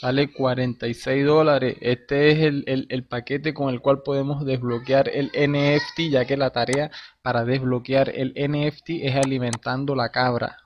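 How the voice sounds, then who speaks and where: Male tutorial host, informal and direct, mid-pitched, lo-fi desktop mic with light hiss. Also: little pitch movement, moderate pace. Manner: informal, addresses viewer directly with slang. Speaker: crypto game tutorial host. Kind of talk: screen-recorded tutorial narration. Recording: dull lo-fi voice with faint hiss.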